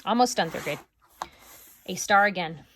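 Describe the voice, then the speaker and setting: A woman teacher reading a chapter book aloud to her class, with expressive, lively storytelling. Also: on the low side, moderate pace, slight background noise.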